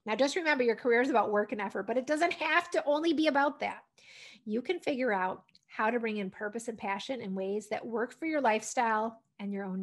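An American woman, around her thirties or forties, giving an encouraging, conversational instructional talk to camera as a wellness coach. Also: fairly high pitch. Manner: encouraging, conversational, often ending points with "right?". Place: furnished room at home, indoors. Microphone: desktop condenser microphone.

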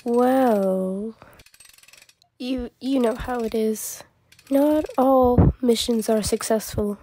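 Somber turtle mentor female performer recounting war memories for comic dub; very slow, husky. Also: on the high side, mellow, heavily acted. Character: sad, reflective mutant turtle master telling war memories. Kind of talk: fan comic dub acting, storytelling.

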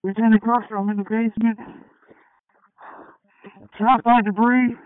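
Male firefighter, very high clear voice, urgent radio reports, narrow phone-like band. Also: little pitch movement, moderate pace, no room sound. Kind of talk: urgent emergency radio traffic. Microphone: handheld two-way radio. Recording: thin, narrow-band fire radio transmission.

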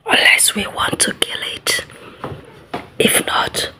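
Folktale native doctor, played by a woman: African accent, reassuring, solemn, whispered, extremely slow. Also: pushed and bright, heavily acted, poor audio. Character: male village native doctor, reverent and reassuring to his king.

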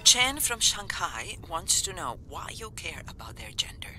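A composed woman supporting a dive team in a video game. Her voice is low and lively in pitch, with a sharply bright edge. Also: unhurried, slight background noise, heavily acted. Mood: cool, attentive. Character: composed woman colleague on a dive team's comms.

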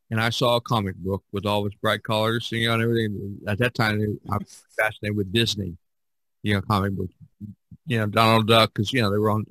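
An older man talks casually as a podcast host. His voice sits at an ordinary pitch in a dry recording. He rambles through anecdotes, repeating phrases as he goes. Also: a bit bright, no room sound, rough recording.

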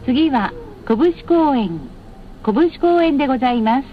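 A woman's voice: sits high, expressive, measured pace, over loud music. A woman bus announcer reading scripted stop announcements in a formal, polite, carefully enunciated way over cabin speakers. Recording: muffled, with clear vehicle noise. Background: vehicle noise.

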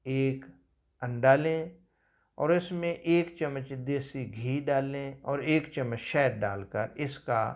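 A man in his fifties with a Pakistani Urdu accent, giving a calm, measured talk on home remedies from a small office. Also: mid-range voice, vocal fry. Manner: measured, unhurried explanation with religious phrases. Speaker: herbal medicine practitioner. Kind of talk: calm instructional health talk. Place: small office seated at a desk.

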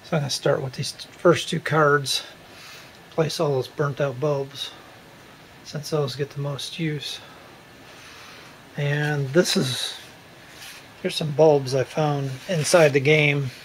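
Casual male DIY host, a bit higher than average with noticeable vocal fry, home recording with light background hum. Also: takes it slowly, pauses often, low-quality recording. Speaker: repair video host. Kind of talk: casual hands-on how-to narration. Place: room at home. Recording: steady hum and room noise.

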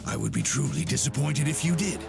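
Gravelly, animated space soldier sergeant. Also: male voice, medium pitch, a little fast, over loud music. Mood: wry, grave. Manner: gruff, theatrical, wry. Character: battle-hardened, sardonic space marine sergeant man. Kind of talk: dramatic video game acting.

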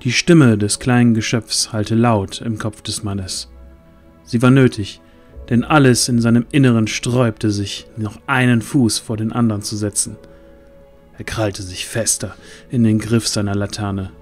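A man's voice: fairly low pitch, steady tone, measured pace, faint music behind. A man narrating a scripted fantasy audio drama in a dramatic storytelling style.

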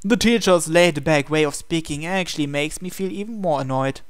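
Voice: medium pitch, moderate pace, clean tone, no echo, studio quality. A person reading visual novel text aloud for a let's play, acting out the dialogue expressively. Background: game music.

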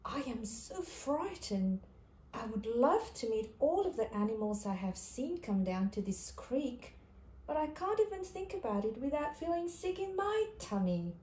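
A timid little platypus speaks in a soft, wavering voice that rises and falls a lot and sits a bit high, as a woman reading the story puts him on. He is a shy, nervous storybook creature, and his voice carries a roomy echo. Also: very animated, a little slow. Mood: frightened.

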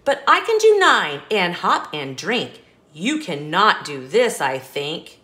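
A woman reading a picture book aloud to young children, with expressive emphasis and small asides to the listener. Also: on the low side, takes it slowly. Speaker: children's storybook reader.

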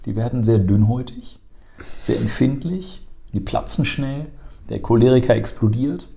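Mellow-voiced man explaining in a bright room. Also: mid-range voice, lively intonation, moderate pace, vocal fry. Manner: fluent, lecturing, frequent "ähm" fillers. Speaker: emergency medicine educator. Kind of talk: explanatory conversation. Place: bright office room, seated on sofa.

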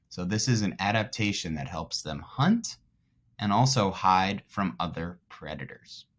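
A man's voice: on the low side, fairly bright tone. A man in his thirties reading aloud to young children as their teacher, explaining things in simple terms. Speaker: elementary school teacher.

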